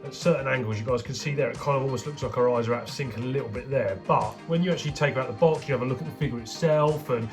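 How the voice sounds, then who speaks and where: A man's voice: mid-range voice, very fast, hardly any pauses, music in the background. Congested man with a cold. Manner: chatty, rambling, speaks straight to viewers. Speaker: toy collector vlogger. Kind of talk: casual unboxing review.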